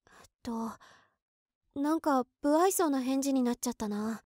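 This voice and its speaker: Casting an anxious, adoring office girl in her early twenties, voice drama monologue, extremely high voice, flustered delivery, dry studio sound. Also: unhurried, a little mellow, dry recording. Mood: flustered, nervous. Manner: girlish, flustered, earnest. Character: shy, anxious, devoted 23-year-old female office worker and fan.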